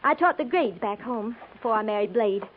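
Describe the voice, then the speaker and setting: An American actress playing a chatty, easily riled young schoolteacher bride with folksy, earnest speech in a 1950s radio drama. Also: female voice, fairly high pitch, expressive, brisk, slight background noise, natural voice. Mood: warm, eager. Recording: tinny, narrow-band 1950s radio recording.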